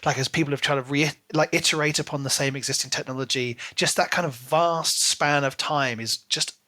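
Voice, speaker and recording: A man's voice: on the high side, steady tone, brisk, pushed and bright. A man co-hosting a podcast, talking casually and enthusiastically about books with emphatic delivery. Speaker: podcast co-host. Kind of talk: casual book discussion chat.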